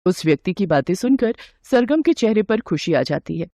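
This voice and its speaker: A woman's voice: medium pitch, very animated, moderate pace, warm tone, dry recording, studio quality. A woman reading a scripted moral bedtime story as its narrator.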